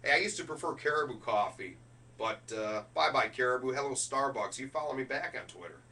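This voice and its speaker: A man delivering a motivational business keynote speech in an emphatic, deliberate way, punching key words as a speaker. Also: mid-range voice, lively intonation, pushed and bright. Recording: distant microphone with faint noise and hum.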